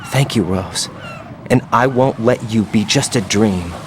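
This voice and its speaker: A man's voice: fairly low pitch, measured pace, background noise, close to an everyday voice. An actor playing a young male soldier, an anxious rookie agent who keeps asking uneasy questions. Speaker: infiltration agent.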